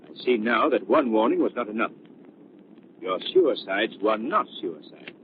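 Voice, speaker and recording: An actor playing a confident, deliberate gentleman detective in a dramatic 1930s radio style. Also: on the low side, lively intonation, natural voice. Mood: grave. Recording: tinny, noisy 1930s radio recording.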